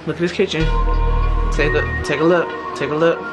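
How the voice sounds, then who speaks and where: A woman's voice: low voice, steady tone, a little fast, loud music behind the voice. Chatty Texan mom vlogging from her kitchen. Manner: chatty, direct, addresses viewers as y'all. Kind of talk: casual vlog chat. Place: home kitchen, indoors. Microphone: handheld camera at arm's length.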